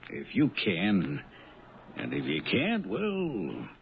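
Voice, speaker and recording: Male gangster henchman, 1970s radio drama: very low, mock-polite menace, muffled narrow-band recording. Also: huge pitch swings, creaky, exaggerated voice. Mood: menacing. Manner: slow, insinuating, mock-polite threats. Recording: muffled phone-like old radio broadcast, hiss.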